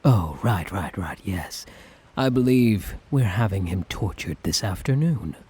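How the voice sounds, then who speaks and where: A suave, lovestruck tyrant, played by a man for an ASMR roleplay, speaks in an unhurried, very husky murmur. He is theatrical and teasing, lilting widely as he dotes with condescending affection and a sadistic edge. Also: low-pitched, animated, a little mellow, exaggerated voice. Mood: mocking, amused. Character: suave, sadistic, doting male tyrant, obsessively in love.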